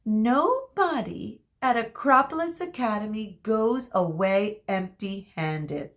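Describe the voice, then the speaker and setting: A woman reading a storybook as a theatrical, gleefully cruel female teacher who draws out her words with dramatic pauses. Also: mid-range voice, lively intonation, pitched above the performer's own voice. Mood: teasing suspense. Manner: drawn-out dramatic pauses, exclaiming.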